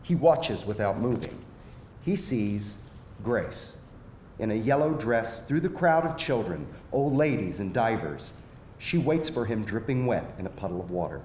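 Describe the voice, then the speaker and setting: A man's voice: sing-song, measured pace, darkish tone. A man narrating a screenplay's stage directions as scripted narration at a live table read. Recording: echoey live room, faint rumbling noise.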